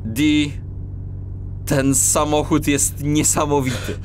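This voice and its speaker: A man's voice: a little high, steady tone, slow pace, soft background music. An actor playing an enthusiastic male cat police detective in a children's audio drama. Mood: excited. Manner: exclamatory, wonder-struck.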